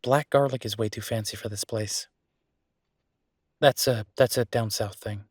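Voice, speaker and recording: A man playing an easygoing, affectionate restaurant owner in a roleplay audio drama, chatting casually as if thinking out loud to his partner. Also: on the low side, fairly even intonation, a little slow, no echo, clean studio sound. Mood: musing, persuasive. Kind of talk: boyfriend roleplay audio drama, one-sided conversation.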